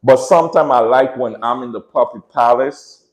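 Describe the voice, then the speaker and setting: A man's voice: mid-range voice, moderate pace. A man from the Caribbean with a Caribbean accent, hosting a casual livestream from home. He talks in an earnest, reflective way, repeats phrases and often checks in with "right?". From Dominica, raised in Saint Martin. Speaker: livestream host and dog breeder. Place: room at home with dogs. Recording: echoey room.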